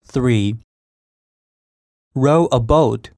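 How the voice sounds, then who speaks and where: A woman with an extremely low voice reads scripted items for a listening test. Her delivery is slow and deliberate, with each word carefully enunciated, and the sound is dry. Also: a little mellow, dry recording. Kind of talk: scripted language-test reading.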